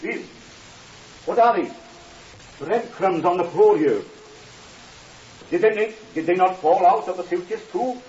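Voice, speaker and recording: An actor playing an anxious, grieving and polite man with a formal, halting, questioning way of talking in a 1950s radio drama. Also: high voice, a little mellow. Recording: muffled, hissy 1950s radio recording.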